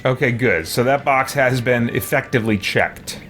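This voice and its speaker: A man's voice: medium pitch, moderate pace, music in the background. A man doing casual let's play commentary as a streamer, muttering his thoughts aloud to himself.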